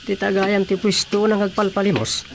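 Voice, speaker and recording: A gravelly male voice, slightly high, playing a suspicious man in a radio drama. He grumbles and muses to himself over faint background noise. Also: monotone, normal speed. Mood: conversational.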